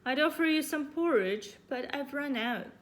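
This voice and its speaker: A woman's voice: high-pitched, expressive, normal speed, voice pushed up. A woman voicing a polite, apologetic, homely mother bear from a children's storybook. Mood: worried.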